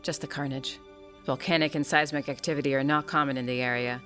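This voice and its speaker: Man as grave investigator, medium pitch, factual, measured, audio drama, soft music bed. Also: fairly even intonation, natural voice. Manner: matter-of-fact, measured. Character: sober investigator reporting on a grim scene. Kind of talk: scripted fantasy audio drama acting.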